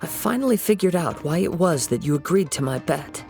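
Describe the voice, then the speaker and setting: A young wandering swordsman in a fantasy game, soft and light with a creaky edge. He is composed and principled, wording things formally and poetically, over faint music. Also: a little high, animated, fairly quick. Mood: grave, composed. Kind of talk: video game voice acting.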